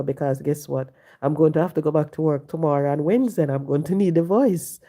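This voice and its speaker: A woman, hoarse and congested from the flu, talking on a casual live stream, preaching and repeating her points to viewers from home. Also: deep voice, mellow. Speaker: livestream host. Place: home, sitting.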